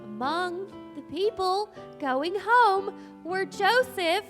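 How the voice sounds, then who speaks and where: A woman as a high-voiced, prim schoolteacher. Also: lively intonation, slow, soft background music, full character voice. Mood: storytelling. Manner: formal, fussy, careful announcing. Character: prim, flustered middle-aged spinster schoolteacher. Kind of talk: live comic stage drama.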